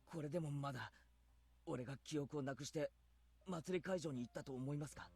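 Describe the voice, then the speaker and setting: An actor voicing an earnest teenage boy who talks in a steady, clear, resolved way. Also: on the high side, rapid-fire. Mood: resolute. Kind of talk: Japanese game voice acting.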